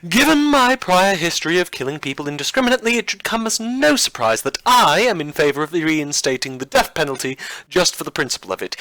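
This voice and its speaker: A man's voice: fairly high pitch, bright, forceful tone, dry recording, heavily acted, low-quality recording. A man playing a sardonic, whimsical radio news host and self-confessed killer in a fiction podcast, with an arch, theatrical, dryly ironic delivery. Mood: wry, whimsical.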